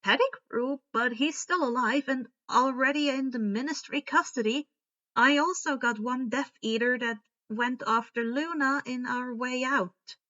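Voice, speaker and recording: A woman voicing a nonchalant young male creature in a storybook reading, casual and slightly halting. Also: sits high, pushed and bright, muffled recording.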